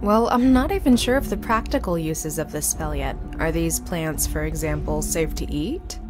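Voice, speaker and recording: A woman's voice: sits low, normal speed, music in the background, close to an everyday voice. A woman playing a studious, serious young necromancer in a visual novel, speaking in a matter-of-fact, explanatory way. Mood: explaining. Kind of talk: scripted visual novel acting.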